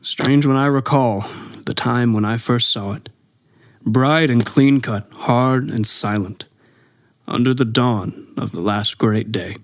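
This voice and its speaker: A man playing a solemn, reflective professor who survived an invasion, narrating his memoir in a measured radio-drama style. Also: medium pitch, slight background noise. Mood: wistful, reflective.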